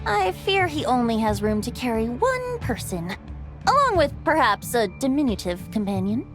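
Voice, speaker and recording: A woman's voice: fairly high pitch, very animated, normal speed, faint music behind. A woman voicing a playful young male bard who talks in a lilting, teasing storyteller way in a video game.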